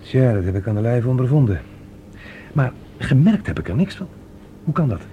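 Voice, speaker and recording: An actor playing an alert, practical spaceman with a brisk, questioning manner in a science-fiction radio drama. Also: medium pitch, very animated, narrow, tinny audio, natural voice. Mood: puzzled. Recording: noisy old radio drama recording.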